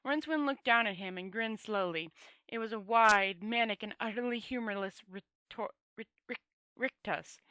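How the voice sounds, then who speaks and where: A woman's voice: medium pitch, bright, forceful tone, dry recording. A woman reading a fantasy novel aloud as an amateur, stumbling and rereading, with casual asides. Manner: halting, often stumbling over words and rereading. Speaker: amateur audiobook reader.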